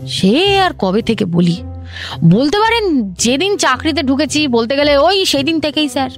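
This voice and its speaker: A woman's voice: sits high, animated, moderate pace, faint music behind. An actress playing a talkative, self-pitying woman government officer in a comic radio drama, gushing with complaints and repeating herself.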